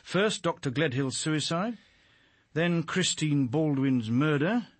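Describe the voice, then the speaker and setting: An actor playing a shrewd police inspector, probing and dryly insinuating, with a British accent in a radio drama. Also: male voice, fairly high pitch, expressive, slow pace, no echo. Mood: needling, sardonic. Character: shrewd, needling male police inspector questioning a suspect.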